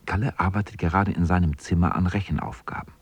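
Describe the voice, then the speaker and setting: A man with a husky voice narrating a scripted children's story as the narrator of a radio drama. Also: low-pitched, fast talker.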